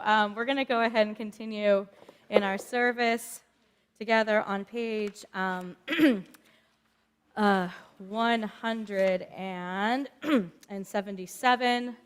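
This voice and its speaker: A woman leads a synagogue service in a fairly high voice. She takes her time, speaking in a measured and deliberate way. The sound carries a long reverb from a large hall. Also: fairly bright tone, smooth. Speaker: cantor leading a synagogue service. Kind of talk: leading congregational prayer. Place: synagogue sanctuary.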